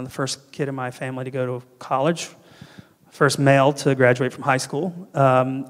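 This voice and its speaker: A middle-aged man from North Carolina giving a conference keynote with a handheld mic, earnest and restarting often. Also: mid-range voice. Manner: earnest, gestures broadly, frequent restarts and ums. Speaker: keynote speaker and panel guest. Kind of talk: conference keynote and fireside chat. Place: large hall on stage. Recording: hall reverb.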